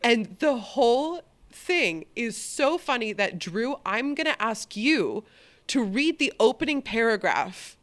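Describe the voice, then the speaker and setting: A woman co-hosting a podcast in loose, casual conversation. Her pitch moves around in a lively, animated way. She swears casually and restarts her phrases as she goes. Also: slow. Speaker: podcast co-host. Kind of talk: casual chat.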